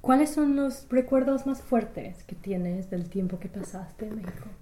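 A woman interviewer asking steady questions and giving frequent soft backchannel sounds while she listens. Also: medium pitch, measured pace, rough recording.

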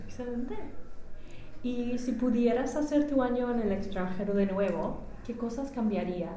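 A woman interviewer with a very mellow, dark timbre and a slightly high pitch. She asks structured questions and adds short acknowledgements. The recording is roomy and echoey, with faint noise behind. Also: fairly even intonation, normal speed.

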